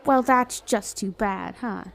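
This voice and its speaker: A woman's voice: medium pitch, slow, creaky, fairly bright tone, some chatter behind. A woman playing a flirty, self-justifying villain in an ASMR roleplay, talking in a casual, playful, sardonic way. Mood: teasing, amused. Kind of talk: acted ASMR roleplay monologue.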